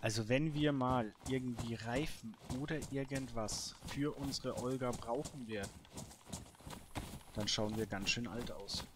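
A man's voice: medium pitch, a little slow. A man giving casual solo gameplay commentary, musing and muttering to himself as he thinks aloud. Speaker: gaming YouTuber, let's player. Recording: clear background noise.

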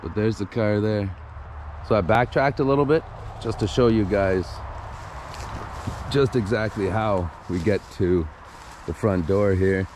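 Slow, low-voiced man, casual exploring vlog. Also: a little mellow, slight background noise. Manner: casual, talking to viewers while walking around. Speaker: host of an abandoned-places exploration channel. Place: on the move through a derelict property, RV, overgrown yard and house.

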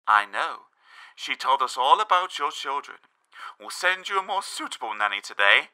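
A man voicing a brisk nanny agency clerk answering a call in a children's story. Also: medium pitch, very animated, sharp tone, rough recording. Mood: businesslike.

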